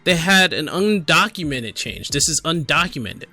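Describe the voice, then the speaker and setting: A man giving casual, unscripted gaming commentary and reading aloud, in a halting, apologetic manner. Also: on the high side, very animated, bright, forceful tone, soft background music. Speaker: gaming video creator.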